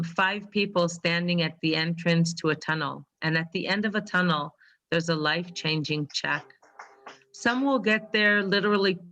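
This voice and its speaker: A woman whose first language is Hebrew, sharing her story on a video call and pausing often with ums. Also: lowish voice, a bit bright, low-quality recording. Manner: hesitant, many ums. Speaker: webinar participant. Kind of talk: casual pitch on a video call.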